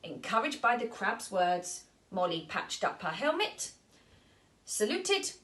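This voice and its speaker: A woman's voice: mid-range voice, very animated, slow pace, a bit bright. A woman storyteller reading a children's story aloud expressively.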